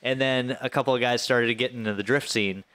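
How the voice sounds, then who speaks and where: An American man of thirty with an average-pitched voice, recorded close and dry. He talks informally, as a podcast co-host swapping stories with friends, and swears casually. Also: fairly even intonation, fast, fairly bright tone, dry recording. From Flint area, Michigan, US. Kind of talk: casual chat, personal storytelling.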